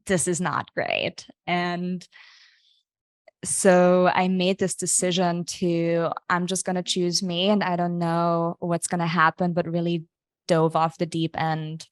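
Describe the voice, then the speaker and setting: A young woman from Austria telling her own story as a podcast guest, candid and animated, often quoting her own past thoughts. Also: fairly low pitch, slow pace, no room sound, clean studio sound. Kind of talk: casual storytelling.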